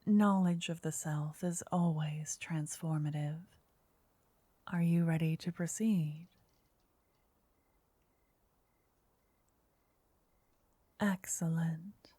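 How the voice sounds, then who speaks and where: A woman playing a gentle, flirty fortune teller in an ASMR roleplay, speaking softly in a breathy half-whisper, coaxing and soothing the listener up close. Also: low-pitched, fairly even intonation, slow, no echo, full character voice. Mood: coaxing, mysterious. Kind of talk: ASMR roleplay, intimate scripted address to listener. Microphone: close, dry microphone.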